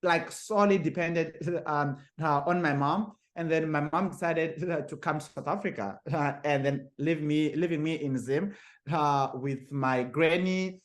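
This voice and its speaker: A young man from Zimbabwe telling his story as a podcast guest. He stumbles through many fillers and repeated words and laughs often. His voice sits a little high for a man. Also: unhurried. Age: young adult. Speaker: guest author. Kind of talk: podcast interview.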